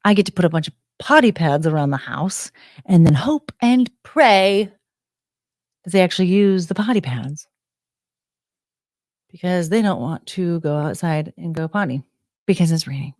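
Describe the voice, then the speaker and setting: A woman's voice: on the low side, lively intonation, normal speed, long pauses, a little mellow, dry recording, clean studio sound. An American woman hosting a live stream, chatting in a rambling, unfiltered way with casual swearing. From United States.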